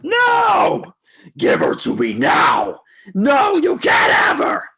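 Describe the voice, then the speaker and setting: A man playing a tormented, unstable killer, halting and menacing, in an amateur screenplay read over a video call. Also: very high-pitched, wildly swooping pitch, creaky, pushed and bright, slightly rough, shouting, exaggerated voice. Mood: possessive frenzy. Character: tormented, unstable male killer pleading with captive lover. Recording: home video-call audio.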